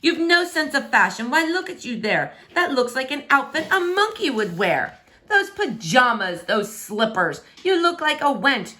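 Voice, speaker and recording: A woman's voice: high-pitched, big pitch swings, pushed and bright, pitched above the performer's own voice, exaggerated voice. A woman voicing a vain, pompous prince in a rhyming storybook, haughty, theatrical and easily outraged. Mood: scornful outrage. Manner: haughty, theatrical, exclaiming, drawn-out emphasis. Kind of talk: storybook read-aloud in rhyming verse.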